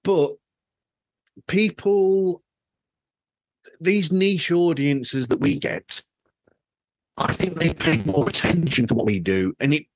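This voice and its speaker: A man's voice: on the high side, slow, long pauses, no room sound, low-quality recording. A man hosting a casual podcast chat, rambling and opinionated as he circles around his points. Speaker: podcast host.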